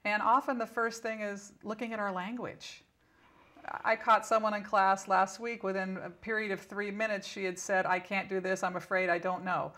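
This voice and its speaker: A woman with a medium-pitched voice is the guest in an interview, speaking as a spiritual teacher. She explains conversationally and instructively, stressing key points with short repeated phrases and examples. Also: flat delivery, normal speed, fairly bright tone.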